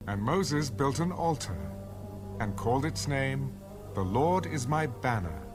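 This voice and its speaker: A man's voice: medium pitch, lively intonation, unhurried, lightly acted. A man reading scripture as the narrator of a dramatized audio Bible. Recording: music bed under the voice. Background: music.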